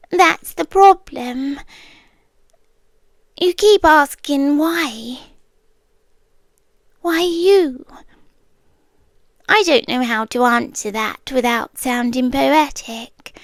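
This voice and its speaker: Brief: a British woman as a lovesick kidnapper, high and unhurried, murmuring into a dry close mic. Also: no echo, exaggerated voice. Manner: soft-spoken, often slipping into whispers. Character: obsessive romantic young woman kidnapper, calmly sincere. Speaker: ASMR roleplay creator. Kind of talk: ASMR roleplay.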